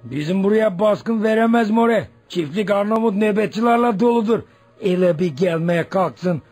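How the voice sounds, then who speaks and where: Casting: cocky guard for radio play, high raspy voice. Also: male voice, normal speed, a little mellow. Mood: boastful. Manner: boastful, folksy, blustering. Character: boastful, rough Albanian guard at a landlord's farm. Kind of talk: Turkish radio play acting. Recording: faint music behind radio drama.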